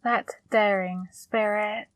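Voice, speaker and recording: A woman playing a flirty, teasing female demon in an intimate ASMR roleplay, speaking softly and breathily, coaxing and gently scolding up close. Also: medium pitch, fairly even intonation, takes it slowly, dry recording, natural voice. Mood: gently chiding. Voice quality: soft, breathy, partly whispered. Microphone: close microphone, dry.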